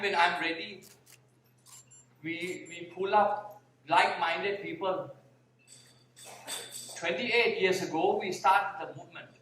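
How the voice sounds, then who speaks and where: A man with a Thai accent giving a slow, deliberate talk on a conference panel, sometimes repeating his words. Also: sits high, fairly bright tone, slight background noise. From Thailand (Bangkok). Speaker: panel speaker, vegan activist. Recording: echoey hall, mic heard from far.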